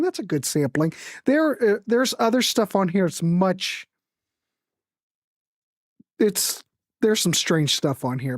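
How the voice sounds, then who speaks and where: A woman's voice: mid-range voice, a little mellow, no echo, studio quality. A woman hosting a music show, chatting casually about records in a rambling, jokey, informal way with viewers.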